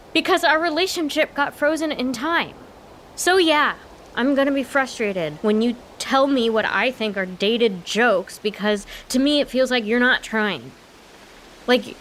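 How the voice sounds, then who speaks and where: Frustrated grown daughter, higher voice, young, earnest and wry, emphatic, natural radio-play delivery, background hiss. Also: female voice, a bit bright.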